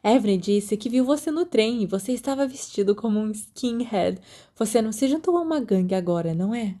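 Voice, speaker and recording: A Brazilian woman voicing a playful, boastful teenage schoolgirl in an audiobook story, with a teasing, lively manner. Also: mid-range voice, a little fast, close to an everyday voice. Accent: Brazilian Portuguese.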